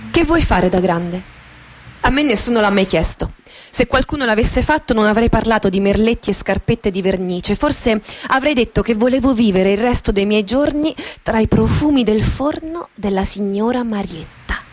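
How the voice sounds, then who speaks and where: High-voiced actress as a wounded woman remembering childhood. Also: normal speed, lightly acted. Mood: wistful. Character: woman recalling her poor childhood, intense and wounded. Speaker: stage actress reading an adapted memoir. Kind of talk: dramatic first-person literary monologue for radio theatre.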